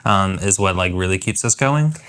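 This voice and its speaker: A man co-hosting a vlog, explaining things in a relaxed, casual way. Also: low voice, moderate pace, smooth. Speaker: content creator, co-host. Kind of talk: casual chat.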